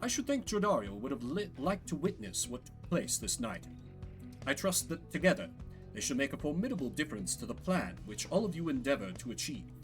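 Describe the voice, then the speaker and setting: Guy doing a solemn minstrel guide from a fantasy game, very formal old timey talk, lilting pitch, music behind. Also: sing-song, rough recording. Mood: solemn, approving. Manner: formal, measured, archaic phrasing.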